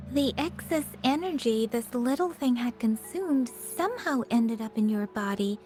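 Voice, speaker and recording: An actress playing a calm young woman in a game who explains things in a measured, unhurried way. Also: high voice. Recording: game dialogue with soft music.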